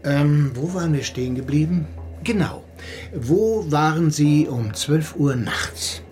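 Probing, composed police interrogator. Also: male voice, on the high side, lively intonation, darkish tone, a little hiss or hum. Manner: measured, pointed questioning. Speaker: actor. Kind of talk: German radio crime drama acting.

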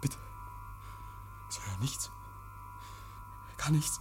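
A man's voice: slow pace, music in the background, close to an everyday voice. An actor in a 1950s radio play playing a nervous, paranoid man hiding guilt, agitated and stammering. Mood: defensive, irritated. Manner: agitated, stammering, insistent, hears imaginary noises. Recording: vintage 1950s radio recording.

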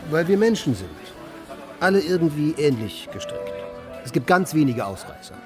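An actor playing an aimless, brooding eccentric in a German radio play, musing and questioning in a deadpan manner. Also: male voice, fairly high pitch, moderate pace, music in the background. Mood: deadpan chatty. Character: aimless, brooding man, eccentric idler and narrator. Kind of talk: German radio play, dialogue and inner monologue.

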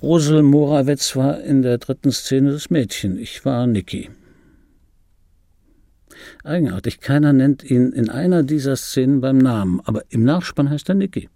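An actor playing an ageing, wry and obsessive dubbing artist in an intimate radio drama monologue, speaking deliberately with pauses and repeated phrases in a gravelly, husky voice. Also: male voice, mid-range voice, mellow, no echo, studio quality, natural voice. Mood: musing, precise. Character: ageing male dubbing actor recalling his screen star, wry, obsessive. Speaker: narrator of a radio play.

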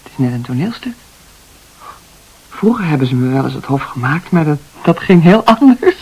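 An actress playing a shy, modest, bewildered nurse in a Dutch radio play, speaking in a breathy, hesitant, halting way. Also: female voice, sits low, very animated, exaggerated voice, rough recording. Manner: hesitant, soft-spoken, halting.

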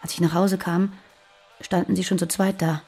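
A woman's voice: on the low side, level tone, normal speed, dark tone, slightly rough, soft background music. An actress playing a guarded, earnest woman on the run in a naturalistic radio play. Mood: matter-of-fact. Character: guarded, frightened fugitive terrorist woman living under false names. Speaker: radio drama actor.

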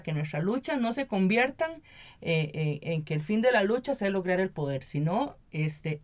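A Costa Rican woman giving earnest, explanatory interview answers as an activist, often checking in with short tag questions. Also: on the low side. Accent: Costa Rican Spanish. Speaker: interview guest, environmental activist and municipal council member.

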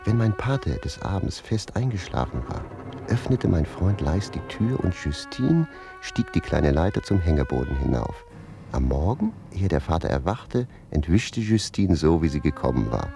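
A man's voice: low-pitched, sing-song, moderate pace, creaky, music in the background, heavily acted. An actor playing a wry, talkative manservant who spins stories for his master in a German radio play. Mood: teasing, wry. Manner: savouring, theatrical storyteller.

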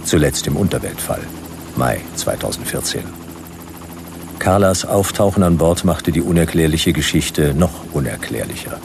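An actor playing a laconic hardboiled detective who narrates a radio play in a dry, clipped, gravelly delivery. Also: male voice, rumbling low, animated, moderate pace, background noise, exaggerated voice. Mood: dry, suspenseful.

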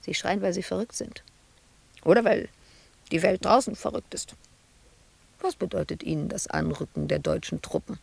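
A woman's voice: fairly low pitch, wildly swooping pitch, normal speed, creaky, long pauses, husky, no room sound, heavily acted. An actress playing a bitter, wounded woman sculptor shut away in an asylum, in a reproachful, volatile radio drama monologue. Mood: bitter, brooding. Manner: reproachful, volatile, dropping at times to hushed murmurs.

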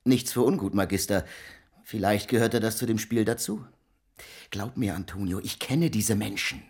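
A man's voice: on the low side, normal speed, darkish tone, breathy edge. A man playing a wary, worldly-wise young friend who reasons urgently, in a German radio drama. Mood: cautioning. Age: young adult.

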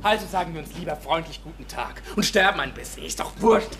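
An actor playing a bitter, defiant young village man, speaking with sarcastic, halting emphasis in a radio drama. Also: a little high, vocal fry, crowd noise. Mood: defiant, resigned.

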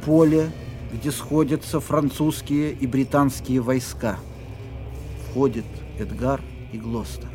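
A man's voice: medium pitch, steady tone, slow, warm tone. A man narrating scene settings for an audio drama in a scripted, announcing style. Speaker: narrator reading stage directions. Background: prominent music.

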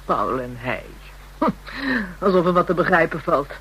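A jaded, hard-living streetwalker in a radio drama. Her voice is on the low side for a woman, coarse and scornful in manner, with some noise in the recording. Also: lively intonation, unhurried, fairly bright tone, rough recording. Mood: scornful, amused. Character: brash, jaded, street-hardened woman, a prostitute. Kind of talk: Dutch radio play acting.

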